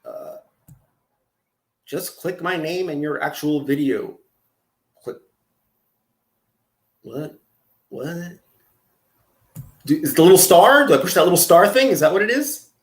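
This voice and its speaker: Brief: higher-pitched male streamer, crude and joking, echoey room. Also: expressive, takes it slowly, long pauses. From California. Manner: crude, joking, easily flustered. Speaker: streamer reacting to videos. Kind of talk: casual livestream commentary.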